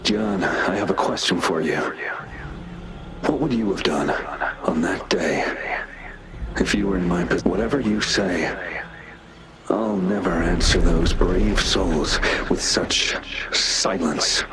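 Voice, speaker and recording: A man voicing a grim, embittered veteran general in a video game, slow and brooding, with loud music underneath. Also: low voice, very noisy. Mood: grim resolve. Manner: slow, brooding, dramatic declamation.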